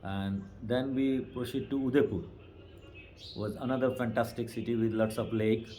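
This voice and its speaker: Mellow-voiced Indian tour driver. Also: male voice, medium pitch, fairly even intonation, moderate pace, slight background noise, poor audio. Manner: plain, matter-of-fact recounting of a route. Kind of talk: casual interview.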